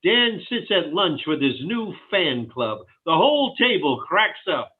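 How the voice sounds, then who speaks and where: A man with a husky, slightly high voice narrates a sitcom script. He reads scene directions with lively pitch, and the audio is thin and phone-like. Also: measured pace. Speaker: stage-direction reader.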